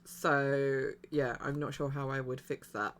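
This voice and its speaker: A woman's voice: extremely low pitch, level tone, unhurried. A woman hosting a casual gaming livestream, chatting and giggling with a friend over voice chat at home. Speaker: livestream host.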